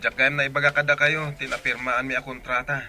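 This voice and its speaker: A man's voice: mid-range voice, level tone, fast, sharp tone, faint music behind. A man playing a dutiful, devoted young son in a radio drama, speaking earnestly.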